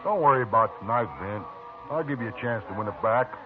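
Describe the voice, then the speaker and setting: A man's voice: medium pitch, lively intonation, fairly quick, music in the background, close to an everyday voice. A man playing a hearty, boastful card winner. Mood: jovial. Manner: hearty, jovial, chuckling. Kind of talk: 1950s radio drama acting. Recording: scratchy, tinny old radio broadcast.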